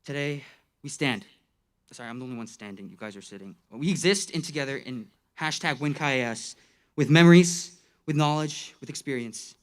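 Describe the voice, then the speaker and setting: A man's voice: mid-range voice, brisk. Playful teenage boy giving a graduation speech. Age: late teens. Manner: playful, tongue-in-cheek, with dramatic emphatic phrasing. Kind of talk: graduation speech with youth slang and jokes.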